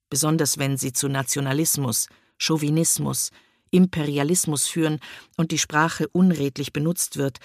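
A woman reading a first-person essay aloud in a measured, reflective way, close to a studio mic. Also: sits low, vocal fry, no room sound. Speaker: reader of an essay.

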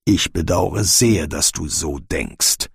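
A man voicing a stern, dignified army general in an audiobook, speaking in a stiff, measured, terse way. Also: rumbling low, lively intonation, gravelly, breathy edge, dry recording, studio quality, pitched below the performer's own voice, full character voice. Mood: stiff, firm.

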